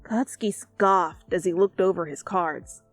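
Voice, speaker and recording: A woman's voice: lowish voice, measured pace, soft background music. A woman narrating fan fiction as a dramatic storyteller in an ASMR style.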